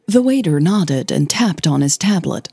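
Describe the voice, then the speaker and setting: A woman reading scripted fiction as the narrator of an audio drama, in a measured, gentle manner. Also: fairly low pitch, lively intonation, vocal fry, breathy edge, no room sound.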